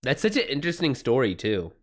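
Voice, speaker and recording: Man, livestream host, lively swooping intonation, mid-pitched voice, casual, sweary, exclamatory. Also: quick pace. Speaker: streamer, author hosting a live chat. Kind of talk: casual livestream chat, reading and reacting to comments.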